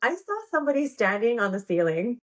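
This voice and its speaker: A woman's voice: a little high, sing-song, a little fast. An American woman chatting casually as an interview guest, informal and giggly, with lots of slang. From United States. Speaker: podcast guest, pole dance instructor and performer.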